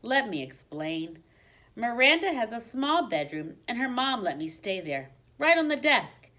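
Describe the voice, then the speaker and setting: A woman reading a children's book aloud like a teacher, with expressive storybook narration and dramatic emphasis. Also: fairly high pitch, measured pace. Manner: dramatic emphasis on exciting moments.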